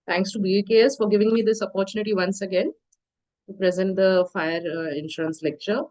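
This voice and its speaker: A woman's voice: mid-range voice, rough recording. Nasal woman lecturing on insurance. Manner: explanatory, steady, many filler pauses. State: nasal from a cough and cold. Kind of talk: online lecture presentation. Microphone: video call.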